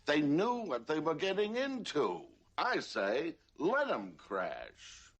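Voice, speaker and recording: A man's voice: on the high side, wildly swooping pitch, takes it slowly, a bit bright. A man delivering short lines of dry, dramatic dialogue.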